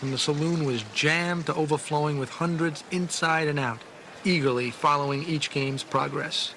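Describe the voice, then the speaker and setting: A man reading dramatic scripted sports history with theatrical, deliberate phrasing over background music. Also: fairly high pitch, fairly even intonation, bright, forceful tone, slight background noise.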